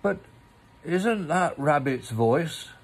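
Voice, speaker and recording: A man voicing a friendly, slow-witted storybook bear who speaks ponderously and politely and hums to himself. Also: fairly high pitch, animated, breathy edge, voice pushed up, full character voice. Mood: calling, puzzled. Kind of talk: storybook character voice.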